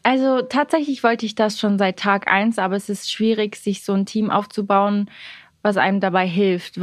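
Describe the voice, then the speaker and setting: A woman's voice: mid-range voice, no room sound, studio quality. Bubbly young woman with a Swiss accent. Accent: Swiss German. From Portugal, raised; moved to Switzerland. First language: Swiss German. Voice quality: bubbly, laughs often, many fillers. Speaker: singer guest. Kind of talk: casual interview chat.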